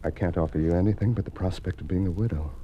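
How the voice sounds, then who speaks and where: An actor playing a brave, brooding political candidate in a 1950s radio melodrama, earnest and dramatic in delivery. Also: male voice, low-pitched, fast talker, lightly acted. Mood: hushed, tender. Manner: earnest, dramatic, swinging from hushed to urgent. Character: brave, brooding male senate candidate marked for death. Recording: faintly noisy old radio recording.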